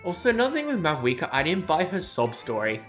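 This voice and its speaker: A man's voice: fairly high pitch, huge pitch swings, fast. Blunt male streamer over game music. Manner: blunt, sarcastic. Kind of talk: live game reaction commentary.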